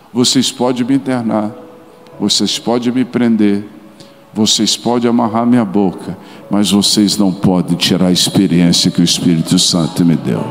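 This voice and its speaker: A middle-aged Brazilian man preaching to a church, speaking at an ordinary pitch for a man. He tells a story with hushed asides and bursts of loud, rising exclamation. His voice rings out with a long reverb in a big hall, and soft music plays underneath. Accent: Brazilian Portuguese. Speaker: pastor. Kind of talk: sermon. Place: large church auditorium.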